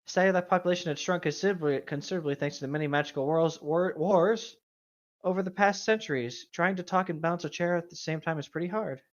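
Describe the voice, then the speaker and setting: Mid-pitched playful host, bantering and reading aloud. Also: fast talker, highs cut off. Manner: playful, interjecting quips. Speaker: host of a bad fan fiction reading show.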